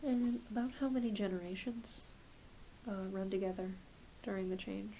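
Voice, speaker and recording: A soft-spoken woman, often dropping into a whisper, gently playing a reassuring doctor in an ASMR roleplay. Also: mid-range voice, moderate pace, rough recording. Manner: gentle, reassuring, hesitant questioning. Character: kindly, attentive doctor examining a patient. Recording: hissy close home recording.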